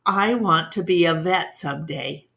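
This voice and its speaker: A woman's voice: on the low side, unhurried, gravelly, a bit bright. A woman voicing an earnest, hopeful country boy with a plain-spoken, eager manner in a storybook.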